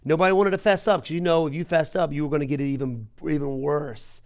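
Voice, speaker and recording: A man hosting a podcast, telling stories in casual chat with an animated manner and repeating himself for emphasis. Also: a little high, fast talker. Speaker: podcast host.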